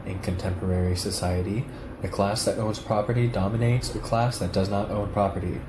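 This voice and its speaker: A man reading a written text aloud at a steady, measured pace. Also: on the low side, reverberant, background noise. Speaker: reader of a political text.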